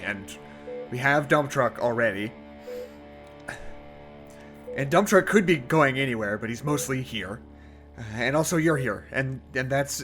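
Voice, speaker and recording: A man's voice: medium pitch, fairly bright tone. A man acting in an audio drama as a hesitant, awkward facility worker. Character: hesitant, awkward male facility worker making small talk.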